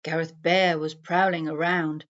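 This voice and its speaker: A woman narrating a rhyming children's story in a deliberate, sing-song storybook manner. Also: low-pitched, level tone, fairly bright tone. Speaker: story reader. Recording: dull, muffled lo-fi sound.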